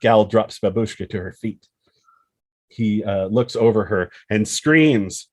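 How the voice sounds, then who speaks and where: A man's voice: fairly low pitch, steady tone, slow pace, no echo. A man narrating a screenplay, reading out scene headings and action descriptions as scripted storytelling.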